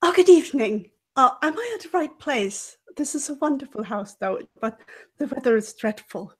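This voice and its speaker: A woman's voice: high-pitched, animated, normal speed, darkish tone, slightly rough. A woman voicing a genteel, chatty lady guest in a mystery role-playing game, polite, fluttery and gushing.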